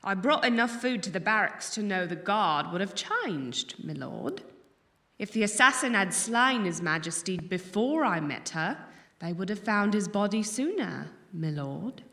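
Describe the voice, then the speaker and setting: A woman's voice: mid-range voice, lively intonation, measured pace, a bit bright. An actress playing a clever servant woman in an audio drama, deferential and careful while standing her ground. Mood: guarded, deferential.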